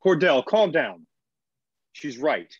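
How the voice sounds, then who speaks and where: An actor playing an earnest colony leader trying to keep control in a screenplay table read, measured and placating. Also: male voice, fairly high pitch, big pitch swings, no room sound, lo-fi sound, exaggerated voice. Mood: firm, practical.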